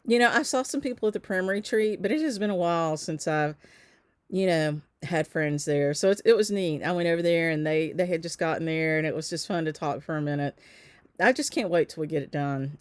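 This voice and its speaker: Woman host, lower voice, dry close mic; easy, rambling chat with viewers, lots of ums. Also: a little mellow, no room sound. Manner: chatty, greets viewers by name, frequent ums and laughs. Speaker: shop owner hosting a livestream. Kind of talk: casual livestream chat.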